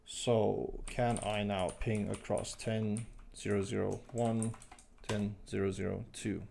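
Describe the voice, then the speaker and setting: A man hosting a live tech stream, thinking aloud as he types commands, his voice croaky today. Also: fairly low pitch, level tone, slow, warm tone, a little hiss or hum. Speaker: livestream host. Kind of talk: casual live tech walkthrough.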